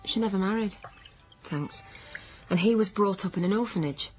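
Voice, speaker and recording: A woman's voice: mid-range voice, moderate pace, soft background music, lightly acted, rough recording. An actress playing a troubled, stubborn pregnant career woman, earnest and defensive, in naturalistic radio drama. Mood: explaining, defensive.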